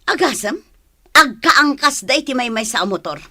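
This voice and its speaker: A woman's voice: sits high, animated, a little slow, bright, forceful tone, full character voice. A woman playing a strict, suspicious, nagging mother in a comedy drama, with a northern Philippine accent. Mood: indignant complaining. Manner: nagging, exclaiming, interrogating. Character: scolding, suspicious, strict mother of grown daughters. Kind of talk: amateur comedy drama acting.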